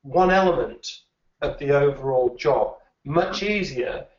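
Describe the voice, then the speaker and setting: A man, a recruitment trainer, answers questions at an easy pace and circles back on his words as he thinks. His voice sits a little high for a man. It is recorded from a distance in an echoey room, with a dull, muffled sound. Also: lively intonation, unhurried. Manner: thoughtful, repeats words while thinking. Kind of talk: conversational interview answers.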